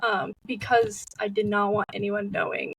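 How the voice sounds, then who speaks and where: A woman's voice: medium pitch, little pitch movement, normal speed, a bit bright, husky, far from the mic, low-quality recording. A young woman speaking as an interview guest, candid and open with frequent fillers. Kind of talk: personal interview talk.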